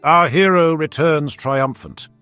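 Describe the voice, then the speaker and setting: A man's voice: a little high, slow, bright, forceful tone, clear, resonant tone, soft background music, pitched above the performer's own voice. A man voicing a jovial, scheming ruler who declaims grandly to his court in a fantasy audiobook. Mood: jubilant, grand. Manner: declamatory, theatrical.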